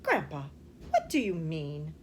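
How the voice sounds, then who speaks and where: A woman's voice: sits low, huge pitch swings, a little slow, a little mellow, a little hiss or hum, pitched below the performer's own voice, exaggerated voice. A woman voicing a curious, eager little boy in a storybook, asking earnest, wide-eyed questions. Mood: amazed, questioning. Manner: earnest questioning, breaking into exclamations.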